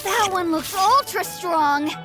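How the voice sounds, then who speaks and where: A cocky, competitive little boy in video game style. The childlike voice is very high and lively, with slow, deliberate boasts over clear background music. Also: heavily acted. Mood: gloating, awed. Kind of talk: video game character acting.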